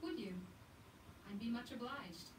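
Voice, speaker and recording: An actress voicing a brisk, polite frontier huntress in a video game, heard through TV speakers across a room. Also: female voice, on the high side, lightly acted. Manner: brisk, polite, old-fashioned phrasing. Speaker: game character. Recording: game audio from TV speakers, roomy, distant, noisy.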